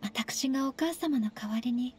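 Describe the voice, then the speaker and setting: A woman voicing a polite, earnest, slightly shy young noblewoman in a Japanese anime game, speaking gently and formally. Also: high voice, a little slow. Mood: reflective. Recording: soft game music behind.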